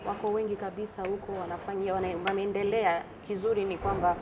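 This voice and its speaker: A woman's voice: medium pitch, fairly even intonation, quick pace. A middle-aged woman from the Democratic Republic of the Congo, answering as a guest in a conversational interview and switching between languages. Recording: clearly noisy background ambience.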